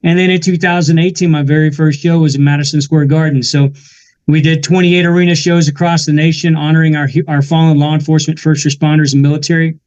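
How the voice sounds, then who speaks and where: A man in his forties, a veteran and musician, telling his story in an earnest, steady way during a casual interview. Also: fairly high pitch, fast talker, clear, resonant tone, highs cut off. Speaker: guest musician and army veteran.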